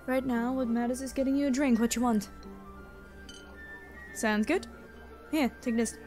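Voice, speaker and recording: A woman's voice: on the high side, steady tone, measured pace, a little mellow, faint music behind, lightly acted. A woman voicing an elven tavern keeper in an ASMR roleplay, familiar and teasing with the listener, protective and tough. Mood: affectionate, chatty. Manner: familiar, teasing, uses pet names.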